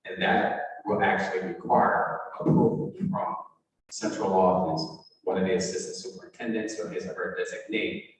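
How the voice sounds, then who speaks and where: Deep-voiced man presenting in an echoey hall. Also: steady tone, normal speed. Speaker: school district administrator. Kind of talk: formal presentation to a board meeting. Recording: very echoey, reverberant hall, distant mic.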